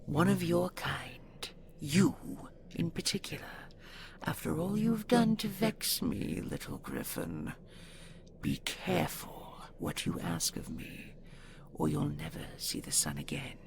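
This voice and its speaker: A regal she-dragon, with a deeply creaky, growling voice. She speaks very slowly and haughtily, savoring each word with theatrical menace in a fantasy audio drama. There is faint noise behind her. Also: sing-song, a little mellow, heavily acted. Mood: furious, menacing. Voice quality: gravelly, breathy growls. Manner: haughty, theatrical, slow deliberate threats. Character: proud, menacing female dragon, regal and possessive. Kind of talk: audio roleplay acting.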